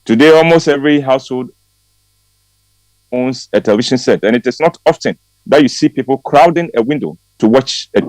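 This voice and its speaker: An older Ghanaian man in his sixties speaks on morning radio in a measured, unhurried way, with hesitant ums and soft chuckles. His voice sits at a middle pitch and sounds close and dry. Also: no room sound, poor audio. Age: 60s. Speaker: regular commentary guest. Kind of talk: warm radio chat and read commentary.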